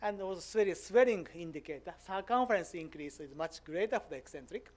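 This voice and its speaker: A man in his fifties from Japan, speaking English with a Japanese accent, giving a conference lecture with many "uh" pauses. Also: high-pitched, lively intonation. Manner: hesitant, frequent "uh" fillers, simple non-native grammar. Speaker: visiting professor giving a lecture.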